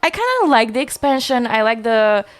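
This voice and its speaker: A woman's voice: on the high side, very animated, moderate pace. A young woman from Bulgaria, a singer-songwriter, chatting casually as an interview guest and laughing easily.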